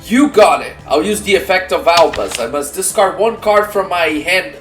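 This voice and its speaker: A man's voice: high-pitched, lively intonation, normal speed, soft background music. A man playing an earnest, casual young hero duelist in an anime-style card battle roleplay, talking through his moves. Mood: determined.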